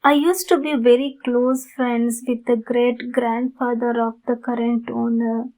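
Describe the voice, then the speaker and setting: Woman acting a gentle, high-voiced, unhurried vampire lady. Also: flat delivery, rough recording. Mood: gentle, pleading. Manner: gentle, apologetic, reassuring. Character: kind, polite, weakened female vampire neighbor. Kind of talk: ASMR roleplay.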